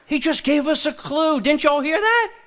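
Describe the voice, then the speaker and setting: An actor as an excitable man hungry for treasure, with a very high voice that swings up and down. He is agitated and insistent, with folksy phrasing, in stage play style. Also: moderate pace. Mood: excited, insistent. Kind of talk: live stage play acting.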